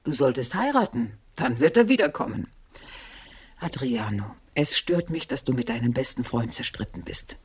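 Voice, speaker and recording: A woman's voice: rumbling low, very animated, normal speed, husky, full character voice. An actress in a radio play as a dead woman's ghost, cool, laconic and reproachful.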